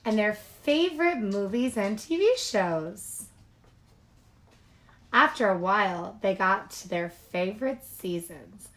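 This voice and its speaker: A woman reading a picture book aloud to young children in an animated, expressive way, with casual asides. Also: mid-range voice, slow pace.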